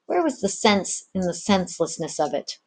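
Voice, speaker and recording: A woman's voice: on the low side, very animated, moderate pace, darkish tone. A woman narrating a storybook as a teacher reading aloud.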